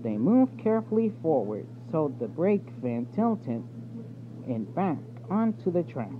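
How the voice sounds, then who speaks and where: Storybook reader, male, higher voice, slow halting delivery, phone-like noisy recording. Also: very animated. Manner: halting, stumbling reading. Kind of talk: reading a children's storybook aloud. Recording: tinny phone-like audio with background noise.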